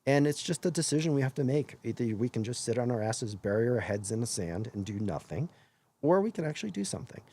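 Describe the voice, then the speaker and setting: A hoarse man hosting his own live stream, talking earnestly in a rambling way and often correcting himself mid-sentence. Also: medium pitch, sing-song, fast, warm tone. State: hoarse after illness. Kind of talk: casual live-stream monologue.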